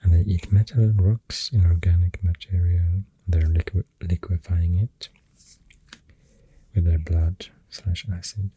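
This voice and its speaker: A Swedish-accented ASMR artist speaks very low and softly, often slipping into a breathy whisper. The delivery is slow and hesitant, with a dark, mellow tone, recorded close to the microphone. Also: little pitch movement, dull audio, a little hiss or hum. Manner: hushed, hesitant, gentle rambling delivery drifting into whispers. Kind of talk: soft-spoken ASMR, rambling facts while drawing. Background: pencil writing and clicking sounds.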